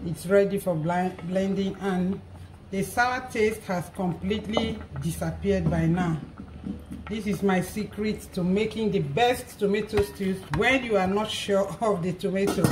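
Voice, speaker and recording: A hoarse woman hosting a cooking channel, calmly explaining each step as she cooks in her home kitchen. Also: medium pitch. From Nigeria. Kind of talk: casual cooking narration. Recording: noisy kitchen. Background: pots clattering and food frying.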